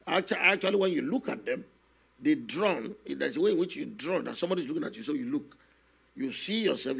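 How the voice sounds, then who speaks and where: An older man, a guest minister telling his testimony slowly and earnestly in a studio interview, often adding "you know". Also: fairly high pitch, fairly bright tone. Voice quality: gravelly, husky. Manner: slow, earnest storytelling with many "you know" asides. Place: television studio, seated on a set. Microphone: stand microphone in front of him.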